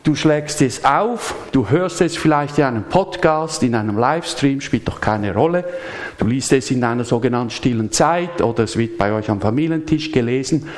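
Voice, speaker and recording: Man preaching a lively chatty sermon. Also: medium pitch. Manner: lively, chatty, asks the congregation questions. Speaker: pastor. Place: church service.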